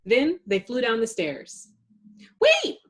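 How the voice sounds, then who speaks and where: A woman reading a children's story aloud in an expressive narrating style, with dramatic emphasis for suspense. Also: mid-range voice, moderate pace. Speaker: storybook reader.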